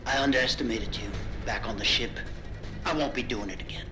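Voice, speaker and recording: A man's voice: medium pitch, brisk, natural voice. Man playing a menacing military villain over music. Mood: cold menace. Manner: taunting, self-assured, clipped. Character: ruthless military commander villain, menacing, controlled. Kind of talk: video game dramatic acting. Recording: loud music behind the voice.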